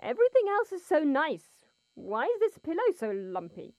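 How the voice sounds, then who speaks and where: High, swoopy, dreamy scatterbrained woman. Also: huge pitch swings, normal speed, darkish tone, no room sound. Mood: playful, oblivious. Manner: dreamy, easily distracted chatter. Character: groggy, scatterbrained, playful woman waking in a capsule. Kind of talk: audio drama acting.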